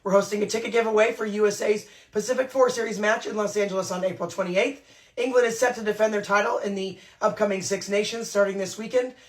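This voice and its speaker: Female podcast host, hoarse, brisk scripted news delivery. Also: mid-range voice, fairly even intonation.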